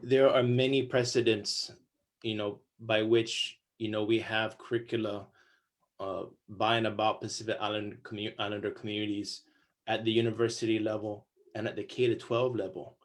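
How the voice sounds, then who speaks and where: A man's voice: fairly low pitch, level tone, normal speed. A man from Guam giving an enthusiastic panel presentation over a video call, listing example after example with occasional fillers. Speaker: guest speaker on curricula.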